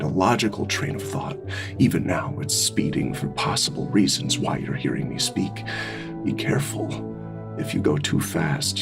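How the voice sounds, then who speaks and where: A man playing a smooth-talking, sly, laid-back pig in an audio drama, coaxing calmly in a creaky voice. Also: sits low, heavily acted. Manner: calm, coaxing, drawn-out teasing phrasing. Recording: music bed under close binaural voice.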